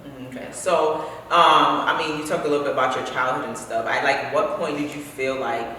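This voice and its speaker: A woman host with a bright, forceful voice keeps things upbeat and joking as she runs the show. Her voice rings around a very echoey room with a long reverb. She speaks at an even middle pitch. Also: a little fast. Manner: upbeat show-opener, jokey. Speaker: podcast host.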